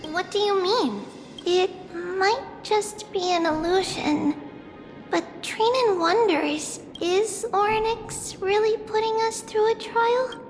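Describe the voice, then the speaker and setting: A woman's voice: extremely high pitch, takes it slowly. A woman voicing a playful, curious little girl with a small childlike voice in a video game. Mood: puzzled, pensive. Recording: long reverb, loud music behind.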